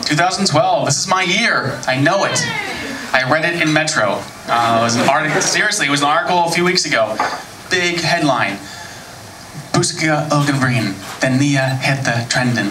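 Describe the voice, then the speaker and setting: An American man in his thirties doing a stand-up set with dry, deadpan timing. His voice sits a bit higher than most men's. The recording is roomy and picked up from a distance, with loud ambient noise behind it. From United States. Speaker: stand-up comedian. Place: comedy club stage. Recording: echoey club recording from far off. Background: audience laughter.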